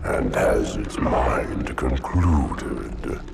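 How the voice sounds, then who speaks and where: Abyssally deep, gravelly ancient monster. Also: male voice, expressive, loud music behind the voice, exaggerated voice. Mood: ominous, commanding. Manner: slow, rhyming, ominous pronouncements. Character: ancient giant parasitic hive-mind monster, grim and prophetic. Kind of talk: dramatic video game cutscene acting.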